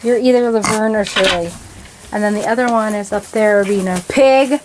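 A woman's voice: mid-range voice, normal speed. A woman chatting casually from behind the camera on an outdoor vlog, often talking to animals. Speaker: camera operator and co-host. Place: outdoors on a farm. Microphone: close behind handheld camera. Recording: noisy outdoor camcorder audio.

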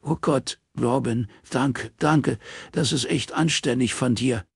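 A man's voice: medium pitch, slow, vocal fry, husky, no room sound, studio quality, voice pushed up, exaggerated voice. A man voicing another man who is sobbing and begging in panic. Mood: relieved. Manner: pleading, whimpering.

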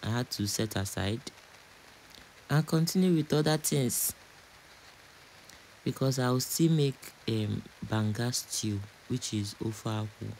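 A man's voice: medium pitch, slow, long pauses, a little mellow, a little hiss or hum, rough recording. A man from Nigeria with a Nigerian accent, chatting casually and repeating phrases as a home cook narrating a cooking vlog.

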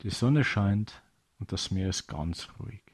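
A man's voice: on the low side, lively intonation, a little slow. A man narrating a scripted children's audio story as a storyteller.

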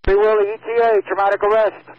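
A man's voice: high voice, little pitch movement, a little fast. A man working as an emergency dispatcher, speaking in terse, pressing phrases over two-way radio. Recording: muffled, very noisy scanner radio, clicks.

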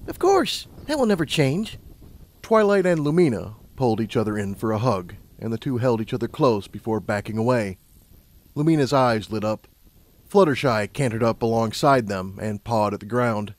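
A man reading scripted fiction aloud as an expressive story narrator. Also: fairly low pitch, normal speed.

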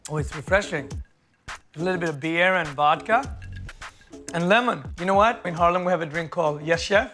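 A man's voice: sits high, pushed and bright, faint music behind. A man in his forties, a guest chef whose first language is Swedish, chatting eagerly in a home kitchen while cooking. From Sweden. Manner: eager, upbeat and quick-talking. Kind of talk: casual chat while cooking.

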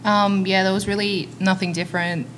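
A woman's voice: mid-range voice, little pitch movement, moderate pace, fairly bright tone, slight background noise, low-quality recording. A young adult woman player answering matter-of-factly into a table mic. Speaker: college softball pitcher.

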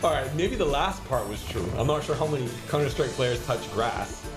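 Teasing young man, lively swooping voice. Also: medium pitch, normal speed. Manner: teasing, sweary, laughs often. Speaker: co-host. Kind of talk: casual banter during slot bonus opening. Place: indoor streaming studio. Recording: roomy studio with casino game music.

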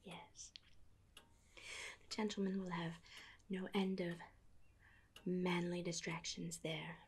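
A woman in her thirties playing a genteel, affectionate Regency wife in a soft, breathy, unhurried ASMR whisper, close to the microphone. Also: on the low side, level tone, a little hiss or hum. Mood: fond, pleased. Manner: genteel, unhurried, old-fashioned phrasing. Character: genteel Regency married sister, affectionate and house-proud. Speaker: ASMR roleplay performer. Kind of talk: whispered ASMR period roleplay.

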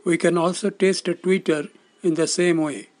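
A man in his sixties giving scripted instructional narration as an electronics instructor, in a measured, formal, explanatory manner. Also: high-pitched, fairly even intonation. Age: 60s.

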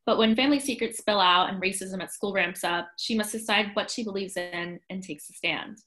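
A woman's voice: mid-range voice, a little fast, pushed and bright. A young adult woman moderator on a video call, reading a summary from her notes. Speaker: student moderator.

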